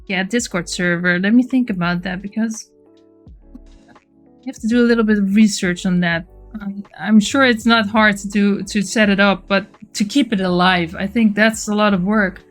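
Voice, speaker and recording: A woman's voice: medium pitch, fairly even intonation, normal speed, faint music behind. A woman with a Dutch accent, chatting casually on a livestream while she works, reading chat aloud with frequent laughs and restarts. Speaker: live streamer.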